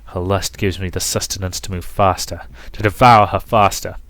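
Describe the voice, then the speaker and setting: A man voicing a seductive, obsessive male incubus in a visual novel, hushed and savouring each word. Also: sits low, fairly even intonation, normal speed, dry recording. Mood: ravenous, savouring. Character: seductive ravenous male incubus, breathy, gloating, obsessive lover. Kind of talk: dramatic visual novel reading.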